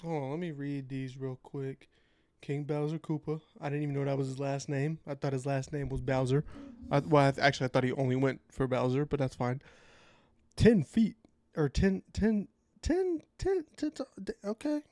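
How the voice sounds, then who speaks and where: A man hosting a casual reaction video, sounding a little nasal from a cold, chatting in a laid-back way and reading out facts haltingly. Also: mid-range voice, a little mellow.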